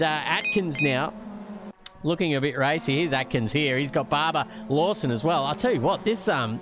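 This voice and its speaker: Chatty Australian co-commentator, high voice. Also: male voice. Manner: rambling, chuckling, slangy, many fillers. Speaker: sim racing co-commentator. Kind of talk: laid-back race commentary.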